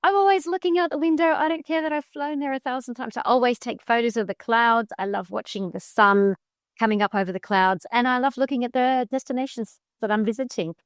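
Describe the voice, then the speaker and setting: Woman, podcast co-host, high-ish voice, lively and playful delivery, dry close mic. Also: fairly quick, clean tone, no room sound. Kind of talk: casual chat.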